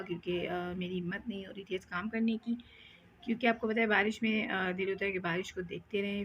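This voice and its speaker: A woman's voice: medium pitch, muffled recording, a little hiss or hum. A young woman chatting casually as she vlogs her housework at home, rambling with many fillers. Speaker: vlogger. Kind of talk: casual home vlog narration.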